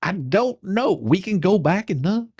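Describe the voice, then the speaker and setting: Casting: performer as exasperated folksy attorney, badgering and sarcastic, very animated pitch, dry close recording. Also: measured pace, slightly rough, no room sound. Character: pushy, folksy, exasperated attorney questioning a witness. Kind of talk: dramatic reading of a deposition transcript.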